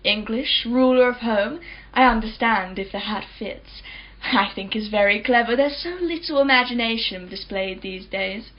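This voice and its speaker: A woman's voice: a little high, normal speed, natural voice. An actress playing a wealthy, well-spoken woman in her forties in a radio play, chatty, polite and self-assured. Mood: breezy, chatty. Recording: slightly dull, faint crowd behind.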